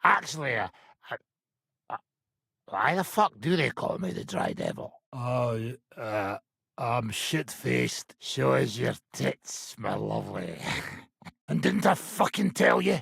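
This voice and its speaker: An actor playing a coarse, combative medieval mercenary commander in a video game, with a gravelly, raspy voice and a blunt, profane, gruff manner. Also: male voice, medium pitch, very animated, slow, a bit bright, no room sound, clean studio sound, exaggerated voice. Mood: drunken, boisterous. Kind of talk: video game character acting.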